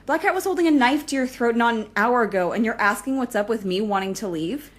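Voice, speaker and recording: Woman acting high-voiced, agitated, stubborn villain. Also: animated, fast. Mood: worried anger. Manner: defensive, argumentative. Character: fiercely protective, agitated young villain, stubborn partner. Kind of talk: audio drama acting.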